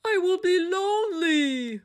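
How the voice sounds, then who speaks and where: Woman voicing an excitable high-pitched storybook toad. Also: slow, heavily acted. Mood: distressed. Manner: crying out, exclaiming dramatically. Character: excitable boastful male toad, anxious for his friend. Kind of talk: animated storybook read-aloud.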